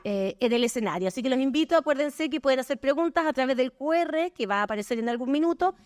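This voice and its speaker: A woman reads speaker introductions at a conference in a formal presenter's manner. Her voice is fairly high, and a long hall reverb trails each phrase. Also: lively intonation, fast. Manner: formal, reads bios aloud. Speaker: event presenter.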